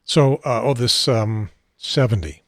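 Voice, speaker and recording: A seventy-year-old man with an American accent and a husky, unsteady voice, hosting a casual unscripted talk show in a rambling, halting, wry way. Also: mid-range voice, no echo, studio quality.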